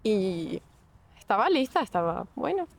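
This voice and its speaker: A young woman chats in an unhurried, halting way, often stopping to restart a thought. Her voice sits at an ordinary middle pitch. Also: very animated, vocal fry. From Portugal. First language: Portuguese. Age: young adult. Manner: hesitant, many restarts and fillers. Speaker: guest. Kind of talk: casual interview.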